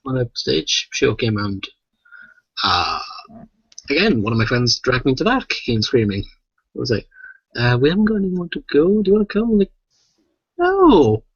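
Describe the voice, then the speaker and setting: British man, husky voice, dry close sound, rambling podcast chat, sweary. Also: medium pitch, wildly swooping pitch, normal speed, pauses often, no room sound, dull audio. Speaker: podcast co-host.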